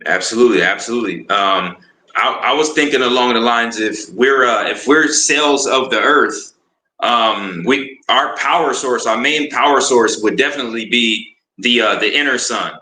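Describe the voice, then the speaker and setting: A man with a very bright, sharp tone speaks as a talk-show guest from an echoey room. He stumbles over repeated words at the start of each point, then explains earnestly. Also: medium pitch, moderate pace.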